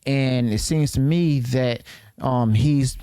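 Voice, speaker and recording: A man's voice: medium pitch, slow pace, mellow, clear, resonant tone, no room sound, studio quality. A man giving casual reaction commentary, leaning on "okay" and "and stuff" as fillers.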